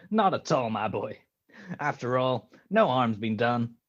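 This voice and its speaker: An actor playing an urbane, mocking villain in a radio detective play, smug and drawling with elaborate politeness. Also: male voice, medium pitch, animated, takes it slowly. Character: suave gloating male villain, urbane and mocking. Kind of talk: old-time radio detective acting. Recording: dull, lo-fi video call recording.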